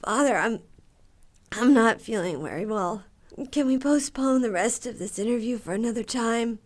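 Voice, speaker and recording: A haughty, uncharitable mother, acted by a woman, with a very high voice. She talks like an easily scandalized lady in a religious audio drama. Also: lively intonation, normal speed. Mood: unwell.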